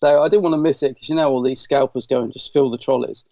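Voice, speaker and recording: Slightly croaky British man, dull dry recording. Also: mid-range voice, fairly even intonation, fast talker, warm tone, no echo. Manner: chatty, enthusiastic. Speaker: podcast host reviewing Doctor Who. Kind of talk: casual chat.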